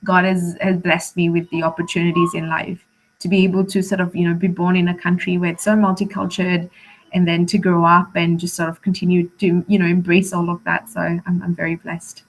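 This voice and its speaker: A woman's voice: on the low side, little pitch movement, a little fast, a little hiss or hum, poor audio. Chatty woman, native Malay speaker. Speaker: primary school teacher, interview guest. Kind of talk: casual conversational interview.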